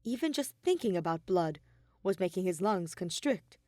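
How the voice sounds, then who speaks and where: A woman's voice: on the low side, lively intonation, normal speed, darkish tone, no echo, clean studio sound. A woman reading scripted fiction aloud as the narrator of an audio story.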